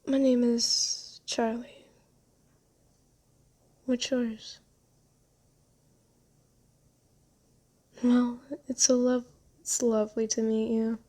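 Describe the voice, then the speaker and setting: A woman playing a gentle, genteel vampire in an ASMR roleplay, soft-spoken, comforting and unhurried. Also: on the high side, level tone. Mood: kindly hospitable. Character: gentle, soothing, genteel vampire who bought a captive. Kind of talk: ASMR roleplay, scripted character acting.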